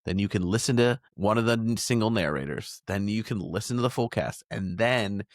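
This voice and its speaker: A man's voice: lowish voice, fairly quick, dry recording, studio quality. A man hosting a podcast, talking in a casual, conversational way. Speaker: podcast host.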